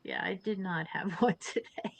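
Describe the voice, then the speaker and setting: A woman's voice: mid-range voice, moderate pace, creaky, dry recording. A woman guest on a live video chat, joking at her own expense and apologizing for mix-ups. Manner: apologetic, self-deprecating. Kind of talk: casual chat.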